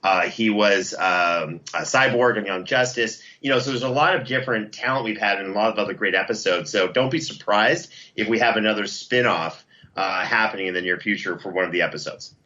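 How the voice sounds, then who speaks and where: A man with a fairly low but very bright, forceful voice speaks as an interview guest. He is effusive and casual in promoting his projects, over a slightly dull, lo-fi line. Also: fairly quick. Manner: enthusiastic, effusive. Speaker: interview guest, audio drama producer.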